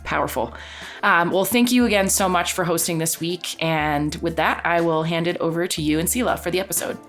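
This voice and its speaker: Deep-voiced woman podcast host. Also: brisk, faint music behind. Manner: casual and relaxed. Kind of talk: podcast chat.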